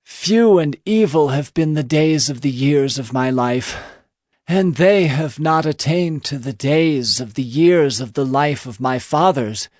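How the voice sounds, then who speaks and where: An actor playing an aged, weary patriarch in a Bible audio drama, with a solemn, deliberate manner. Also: male voice, fairly high pitch, animated, darkish tone, no echo, clean studio sound.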